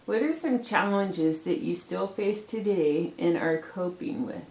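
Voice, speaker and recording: A woman's voice: lowish voice, measured pace, darkish tone. Woman, young, student interviewer, prepared questions, echoey room. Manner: reads prepared questions, short reactions. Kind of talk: casual interview.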